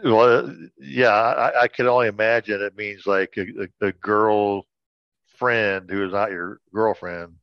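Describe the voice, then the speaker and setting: A man's voice: fairly low pitch, steady tone, a little slow, no echo, highs cut off. A man chatting casually as a co-host, bantering in a playful, joking way.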